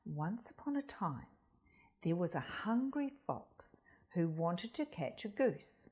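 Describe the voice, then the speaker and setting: A woman reading a children's story aloud as a school storyteller, expressive and deliberate, speaking directly to young listeners. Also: lowish voice, breathy edge. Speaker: storytime reader at a primary school.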